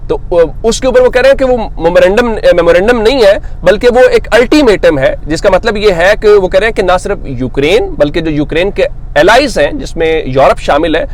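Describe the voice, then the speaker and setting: A man's voice: high voice, lively intonation. A man delivering unscripted news commentary as a host, explaining step by step and posing questions that he then answers himself. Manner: explanatory, reads English quotes slowly then paraphrases them; poses rhetorical questions and answers them.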